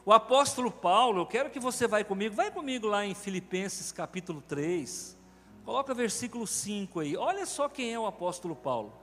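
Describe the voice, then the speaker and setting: Man, Brazilian Portuguese accent, pastor, emphatic sermon, church hall reverb, soft music behind. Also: high voice, a little fast. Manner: emphatic, animated preaching to a congregation. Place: large church sanctuary.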